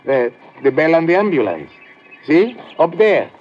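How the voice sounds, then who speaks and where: An earnest farmer with a very lively pitch. He explains himself formally and helpfully, in radio-drama style. The vintage recording is dull with faint noise. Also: unhurried. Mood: earnest, explaining. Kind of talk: 1950s radio drama acting.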